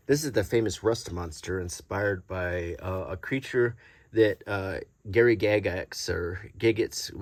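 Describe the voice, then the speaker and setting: A middle-aged man giving a casual, unscripted show-and-tell in a halting, murmured way, like unintentional ASMR. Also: sits low, no room sound. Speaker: host presenting a vintage game card collection. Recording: noticeable background noise, small clicks.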